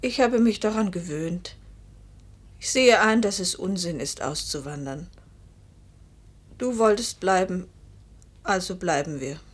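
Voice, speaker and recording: A woman's voice: on the low side, animated, measured pace, long pauses, breathy edge, dry recording. A woman playing a passionate, remorseful young wife and mother in a German radio play, intimate and confessional in manner. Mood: tense, resigned. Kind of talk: German radio play acting and first-person narration.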